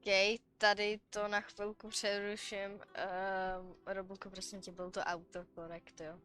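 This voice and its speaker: Casual woman streaming games, medium pitch. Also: flat delivery, measured pace, a bit bright, faint music behind. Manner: informal, colloquial. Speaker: game streamer. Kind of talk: casual live-stream chat.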